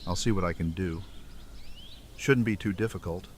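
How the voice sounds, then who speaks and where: A man's voice: deep voice, normal speed, slight background noise, close to an everyday voice. A man playing an obliging, laid-back blacksmith in an audio drama, speaking in a plain, folksy way. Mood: amiable. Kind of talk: fan audio drama acting.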